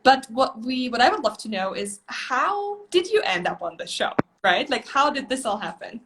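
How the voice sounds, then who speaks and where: A bright, upbeat woman hosts a live interview over a video call. Her voice sits a little high and she keeps things moving with encouragement and easy laughter, cheering guests on between their answers. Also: big pitch swings, normal speed, background noise. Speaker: host.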